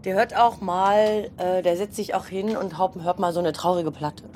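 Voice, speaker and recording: A woman chatting casually and informally while looking around indoors in a flat. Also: lowish voice, moderate pace. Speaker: participant exploring a stranger's flat. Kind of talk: casual chat. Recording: faint background noise, room ambience.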